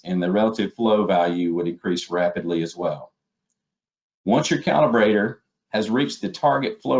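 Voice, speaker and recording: A man's voice: on the low side, darkish tone. A man giving a methodical, step-by-step instructional presentation as a webinar trainer. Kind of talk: instructional slide presentation narration. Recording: dull, lo-fi webinar audio.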